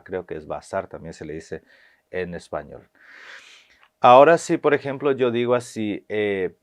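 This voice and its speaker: A man, giving a video language lesson and slowly repeating phrases with hesitant fillers. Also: low-pitched. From Paraguay. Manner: slow, careful repetition of phrases, with hesitant fillers. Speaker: language teacher.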